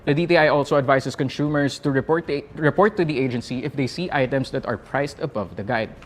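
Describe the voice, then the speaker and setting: A man with a Filipino accent presenting a scripted news roundup in a formal, measured way. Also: mid-range voice, a little hiss or hum.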